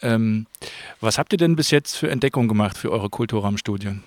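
A man's voice: lowish voice, a little fast, no room sound. A man working as an interviewer, asking questions in a casual, informal way.

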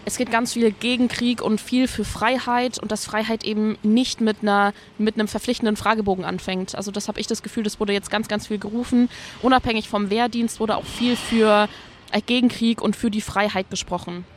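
A woman's voice: medium pitch, fairly even intonation, a little fast, slight background noise. A woman speaking as a reporter and host in a conversational discussion.

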